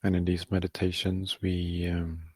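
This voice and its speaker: A man leading a guided meditation, speaking softly and calmly in short, halting phrases with long pauses. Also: sits low, dry recording.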